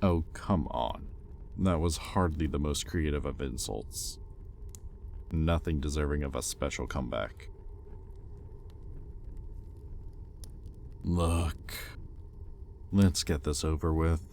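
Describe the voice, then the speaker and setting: Male actor as sardonic demon master for intimate roleplay audio: extremely deep, unhurried, exasperated, grudgingly caring. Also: creaky, a little mellow, slight background noise, full character voice. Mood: weary, teasing. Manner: sardonic, exasperated, with pointed pauses.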